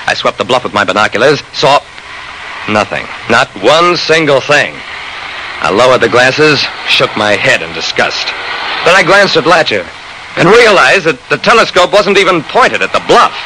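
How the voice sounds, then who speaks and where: An actor as a lively hard-boiled radio detective. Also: male voice, medium pitch, lightly acted. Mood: frustrated. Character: shrewd, persistent male private investigator narrating his case. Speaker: narrator and lead actor. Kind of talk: old-time radio detective drama narration. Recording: noisy vintage radio recording.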